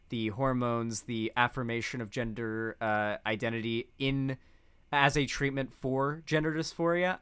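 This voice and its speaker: A man's voice: mid-range voice, a bit bright, clear, resonant tone. A man giving casual political commentary, rambling with frequent restarts.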